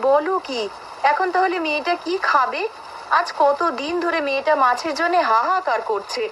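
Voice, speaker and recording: A woman playing an anxious village wife and mother in an animated folk tale, plaintive and questioning. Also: sits high, moderate pace, poor audio. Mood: fretful. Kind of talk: Bengali cartoon dialogue. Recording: faint background noise.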